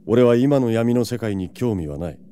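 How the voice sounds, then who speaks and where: A man acting a proud, gruff yet protective vampire lord in an audio drama, brusque and self-assured. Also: medium pitch, lively intonation, moderate pace, warm tone, a little hiss or hum, natural voice. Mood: cool, defiant.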